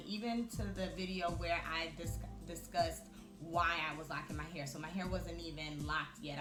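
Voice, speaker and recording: A woman in her thirties talking to camera in a reflective, chatty way, often pausing with fillers. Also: low-pitched. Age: 30s. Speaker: vlogger. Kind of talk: casual talk to camera. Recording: echoey room with music behind.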